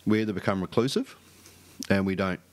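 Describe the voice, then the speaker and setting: A middle-aged man with a low voice tells a story with plenty of stuttered restarts. He talks loosely and casually, the way a co-host chats on his own show. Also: brisk.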